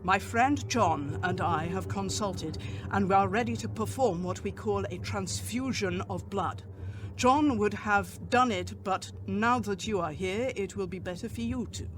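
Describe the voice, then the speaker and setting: Man acting a high-pitched, grave old professor. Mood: solemn, commanding. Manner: formal, deliberate, solemn. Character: grave, commanding older male professor and doctor. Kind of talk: gothic radio drama acting. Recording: clearly noisy background.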